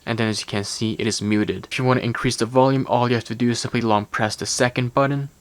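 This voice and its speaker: A man's voice: medium pitch, fairly even intonation, fast. A man narrating a tech gadget review in an explanatory, step-by-step way. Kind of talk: product review voice-over.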